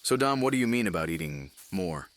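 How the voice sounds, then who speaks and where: Man acting a low-voiced gruff commander. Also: very animated, brisk, fairly bright tone. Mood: curious. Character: male commander, gruff, wry, fatherly leader of men. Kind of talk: fantasy audio drama acting.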